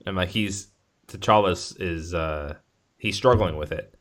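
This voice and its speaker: A man chatting casually as a podcast co-host about film, halting and rephrasing as he goes. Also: low-pitched, fairly bright tone. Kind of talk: casual film discussion chat.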